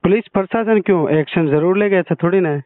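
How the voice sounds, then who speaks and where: A man's voice: sits high, a little fast. A man working as a journalist on a phone call, questioning and advising the caller in a matter-of-fact way. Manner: matter-of-fact, brief acknowledgements. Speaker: local journalist taking a call. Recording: narrow-band, dry phone call recording.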